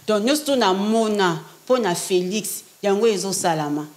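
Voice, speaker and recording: A woman's voice: medium pitch, lively intonation, moderate pace. A young woman from France, a prophetess guest in a casual interview, talking emphatically and repeating phrases for stress. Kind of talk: casual interview talk, French mixed with Lingala.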